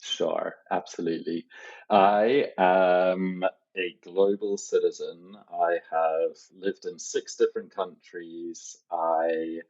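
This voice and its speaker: A man's voice: sits low, flat delivery, slow pace, a little mellow, dull audio. A man from Zimbabwe, a podcast guest, telling his life story in an earnest, reflective way. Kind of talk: casual interview conversation.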